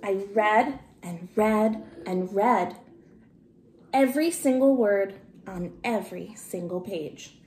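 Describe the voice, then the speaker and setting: A middle-aged woman reading a children's story aloud expressively, with deliberate, emphatic phrasing. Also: medium pitch, a little mellow. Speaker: storybook reader. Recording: roomy home recording with noticeable hiss.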